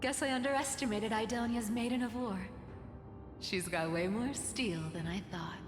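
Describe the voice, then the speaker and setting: A woman voicing a sassy, sceptical young female demigod in an anime game, sardonic and sharp-tongued. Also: a little high, normal speed, lightly acted. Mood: wry. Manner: teasing, sardonic. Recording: echoing game audio under music.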